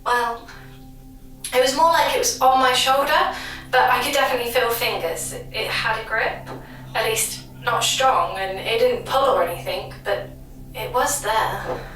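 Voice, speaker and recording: Very echoey and off-mic, a wary waitress with a bright, fairly high voice. She gives her account in halting, colloquial phrases, as a witness on an interview tape in an audio drama. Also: soft background music. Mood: uneasy. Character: wary young waitress recounting an eerie night encounter. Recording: echoey old interview tape, distant microphone.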